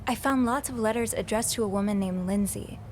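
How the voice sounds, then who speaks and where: A woman's voice: fairly high pitch, a little fast, slight background noise, lightly acted. Woman playing an anxious, earnest young hotel maid. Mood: earnest, hushed. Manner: hesitant, earnest. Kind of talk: video game voice acting.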